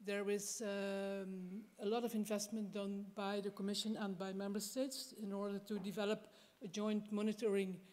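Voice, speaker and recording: A woman poses a question at a conference. Her intonation stays flat and her pitch is average. The amplified sound echoes through a big hall. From Belgium. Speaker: audience questioner. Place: large conference hall, at lectern. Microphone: lectern microphone.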